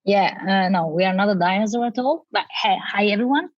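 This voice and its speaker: A woman's voice: medium pitch, a little fast, no room sound, dull audio. A woman from Italy with an Italian accent, a native Italian speaker explaining her work conversationally as a panel guest. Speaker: panel guest, education company representative.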